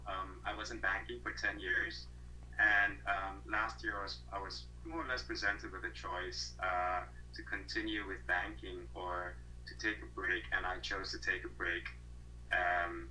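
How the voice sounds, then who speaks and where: A man's voice: sits low, steady tone, moderate pace, pushed and bright, slight background noise, poor audio. A man in his thirties from Malaysia, a guest on an interview over a video call, talking thoughtfully with many fillers and restarts.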